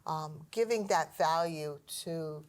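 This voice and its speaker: A woman's voice: low voice, takes it slowly. Encouraging woman interviewer. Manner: encouraging, full of praise. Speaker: school administrator interviewing a teacher.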